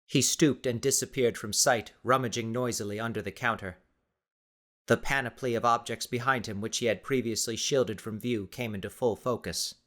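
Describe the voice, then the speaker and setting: A man's voice: medium pitch, steady tone, normal speed, a bit bright. A man reading scripted, dramatic story narration as an audiobook narrator.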